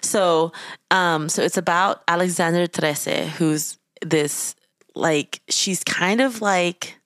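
A woman's voice: on the low side, slow pace, fairly bright tone, dry recording, clean studio sound. A young woman from the Philippines co-hosting a podcast, chatting casually and rambling as she searches for her words. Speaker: podcast co-host. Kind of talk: casual chat.

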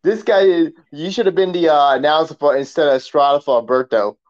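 A man's voice: a little high, brisk, a little mellow, highs cut off. A man co-hosting a wrestling podcast, chatting about sport in a casual, slangy way.

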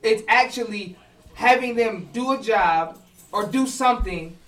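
High-pitched, bright-voiced man, emphatic podcast talker. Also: measured pace, recorded from a distance, poor audio. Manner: emphatic, repeats phrases for stress. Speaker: podcast host; former college football player. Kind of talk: casual podcast discussion.

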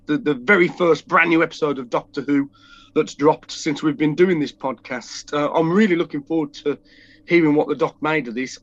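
A man with a head cold co-hosts a casual podcast, his voice sitting a little high and sounding congested. He rambles and restarts his sentences often. The recording is dull and lo-fi. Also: fairly bright tone, no echo. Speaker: podcast co-host. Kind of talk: casual chat.

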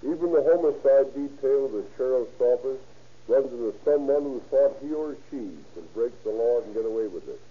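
A man's voice: mid-range voice, animated, normal speed, husky. A man reading a scripted address stiffly and formally as a police captain on 1930s radio. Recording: muffled, noisy 1930s radio recording.

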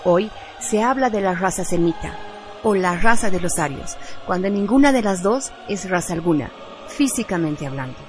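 A woman's voice: on the low side, animated, moderate pace. A woman reading a scripted radio narration in a solemn style. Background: background music.

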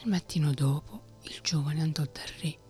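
A woman whispering a fairy tale in a soft ASMR reading. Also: sits low, moderate pace, faint music behind. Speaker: storyteller.

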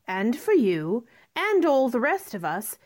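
A woman playing a spirited, outspoken young gentlewoman in a radio drama, with a pointed, forthright manner. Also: fairly high pitch, big pitch swings, slow, full character voice. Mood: pleading, reasonable.

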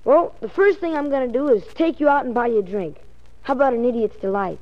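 A woman's voice: fairly high pitch, fast talker, lightly acted. A woman playing an eager, polite young boy in a 1940s radio drama, full of earnest gee-whiz enthusiasm. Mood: delighted, eager. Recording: muffled lo-fi 1940s radio recording.

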